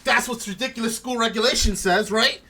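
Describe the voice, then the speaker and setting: A man's voice: extremely high pitch, a little fast, sharp tone. A man hosting a group voice-acting game stream, chatting casually with friends and reading out game dialogue.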